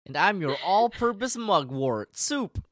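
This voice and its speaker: Animated, rambling man podcast co-host. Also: high-pitched, a little slow, no room sound, clean studio sound. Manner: rambling, hesitant explainer with many hedges. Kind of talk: casual podcast chat.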